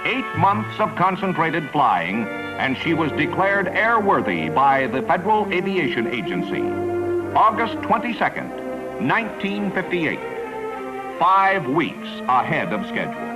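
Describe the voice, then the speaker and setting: Male American narrator for a vintage promotional film, lively intonation, polished announcer delivery over a clear music bed. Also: a little high, a little slow, rough recording. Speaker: newsreel narrator. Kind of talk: 1950s promotional newsreel narration, scripted.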